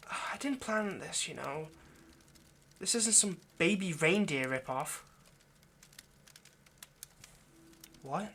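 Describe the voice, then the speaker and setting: A man playing an anxious, lovesick centuries-old vampire kidnapper in an intimate roleplay monologue, speaking in a halting, coaxing, rambling way straight to the listener. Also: a little high, animated, gravelly, bright, forceful tone, heavily acted. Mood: defensive, pleading, then wry, indignant.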